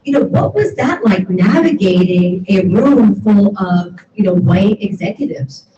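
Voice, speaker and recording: A woman moderating a live interview, asking questions in a halting, casual way with restarts. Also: mid-range voice, expressive. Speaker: moderator, professor. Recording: echoey, distant, dull, noisy.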